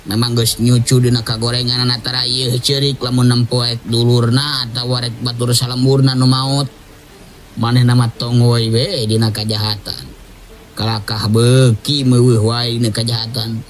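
A man's voice: medium pitch, level tone, moderate pace. A man with a Sundanese accent narrating a folktale in a dramatic oral storytelling style. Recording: music under the voice.

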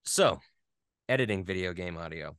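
A man in a casual interview, explaining things in a halting, conversational way as a guest expert. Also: low-pitched, animated, a bit bright, no echo, studio quality. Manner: halting, filler-heavy explaining with playful sound imitations. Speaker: interview guest, game audio editor and voice actor.

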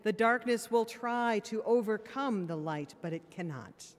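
A woman's voice: fairly high pitch, expressive, measured pace. A woman priest preaching from a pulpit microphone in a church, earnest and plain-spoken with wry asides, and sounding a little tired. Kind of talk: sermon. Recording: long church reverb.

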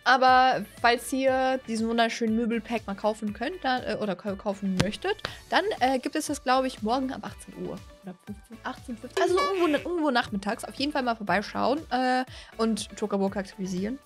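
A woman in her twenties hosting a gaming video with excited, casual reaction commentary, gushing and often repeating her words, recorded on a close studio microphone. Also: a little high, brisk, soft background music. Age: 20s. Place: small indoor streaming room. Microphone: close studio microphone on a boom arm.

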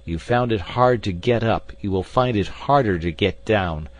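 A man's voice: lowish voice, normal speed, lightly acted, low-quality recording. A man voicing a frenzied, vengeful villain who taunts and gloats theatrically in an audiobook adventure story. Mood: gloating fury.

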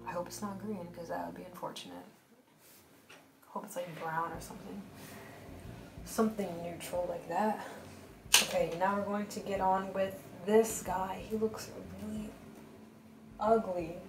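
A woman chatting in a casual voice-over while drawing, with a flat, monotonous, blunt way of talking full of fillers. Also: medium pitch, measured pace, long pauses. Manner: flat, monotonous speech pattern; blunt and rambling, many fillers. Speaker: art vlogger. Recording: roomy voice over background music.

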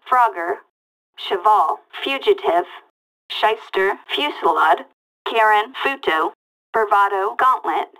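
Slow clipped female police dispatcher over radio. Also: mid-range voice, a bit bright, low-quality recording. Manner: clipped, detached, word-by-word delivery. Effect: police radio filter. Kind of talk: reading out vehicle makes and models as scanner callouts.

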